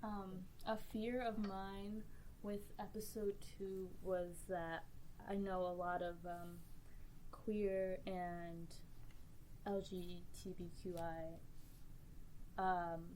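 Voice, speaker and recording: A woman's voice: lowish voice, flat delivery, takes it slowly, darkish tone, a little hiss or hum, rough recording. A woman who writes for a podcast, talking earnestly in a casual round table, laughing easily and using many fillers.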